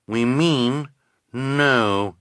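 A man's voice: medium pitch, extremely slow, fairly bright tone, dry recording, clean studio sound, pitched above the performer's own voice. A man voicing a weary, firm henchwoman in a storybook, speaking with resigned resolve. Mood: weary defiance.

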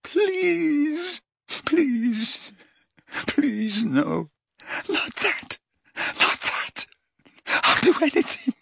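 A man voicing a tormented old wizard who begs and sobs in high, broken cries. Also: very animated, drawn-out words, vocal fry, long pauses, a bit bright, heavily acted. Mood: anguished. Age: older.

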